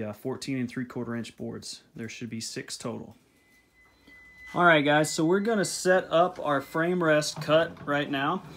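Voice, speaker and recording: A man's voice: fairly high pitch, a bit bright. Casual man explaining saw cuts. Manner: plain, repeats measurements, ends steps with "okay?". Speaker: beekeeping video host. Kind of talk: step-by-step woodworking instruction. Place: home workshop at a table saw.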